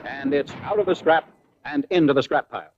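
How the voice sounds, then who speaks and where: A man's voice: fairly high pitch, a little fast, a little hiss or hum. Muffled 1940s American male training film narrator. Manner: punchy, colloquial, wartime slang. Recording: muffled, raspy vintage 1940s film soundtrack.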